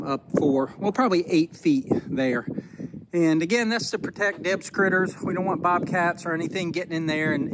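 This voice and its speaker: A man's voice: on the high side, noisy background. Folksy middle-aged man filming outdoors. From Northwest Florida. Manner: folksy, plainspoken, thinking aloud with small restarts. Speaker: homestead vlogger. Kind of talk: casual walk-around narration. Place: outdoors on a rural homestead by a pole barn.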